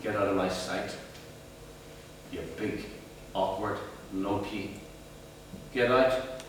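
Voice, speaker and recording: A man's voice: on the low side, slow, pitched below the performer's own voice. A man doing a raging father. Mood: cold fury. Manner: harsh, bullying barks. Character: bitter, raging working-class father. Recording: very echoey room, distant mic, faint hum.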